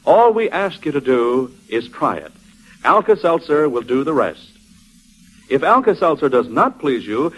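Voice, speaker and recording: A man delivers a commercial in old 1940s radio announcer style. His voice sits a little high and swings through a wide, lively range as he makes a polished sales pitch. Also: rough recording. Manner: smooth salesman patter.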